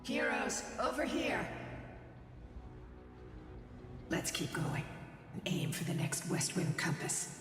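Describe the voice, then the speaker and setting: A voice actress playing a composed, mysterious female guide in a video game, measured and expressive. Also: on the low side. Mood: encouraging. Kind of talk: English video game voice acting. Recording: very echoey hall reverb. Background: music.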